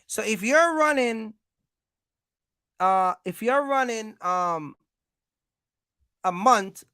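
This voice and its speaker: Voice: mid-range voice, animated, extremely slow, bright, forceful tone, clear, resonant tone, no echo. An American livestream host giving informal business advice, speaking emphatically, repeating points and checking in with listeners.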